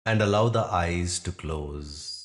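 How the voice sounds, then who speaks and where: A man guiding a yoga nidra meditation in a soothing, instructional manner with pauses between phrases. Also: low voice. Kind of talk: guided meditation narration.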